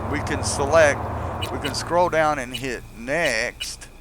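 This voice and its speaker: A man's voice: mid-range voice, slow, background noise. A man giving a casual step-by-step video game tutorial, often checking in with the listener as he explains. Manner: repeatedly checks in with "see" and "okay". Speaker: gamer making a tutorial video. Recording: loud music playing behind the voice. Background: game music and vehicle sounds.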